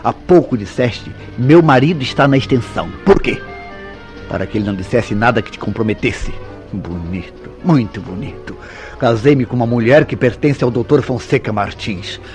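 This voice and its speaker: A man's voice: medium pitch, moderate pace, a little mellow, lightly acted. A man with a Brazilian accent playing a jealous, suspicious husband in a radio soap opera, insistent and accusatory in delivery. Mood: bitter, furious. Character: jealous, suspicious husband interrogating his wife. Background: music behind the voice.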